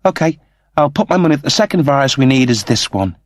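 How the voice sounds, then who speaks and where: A man's voice: mid-range voice, expressive, fast talker, dry recording, voice pushed up, lightly acted. A man voicing a confident young woman who is breezy, playful and teasing. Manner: casual, playful.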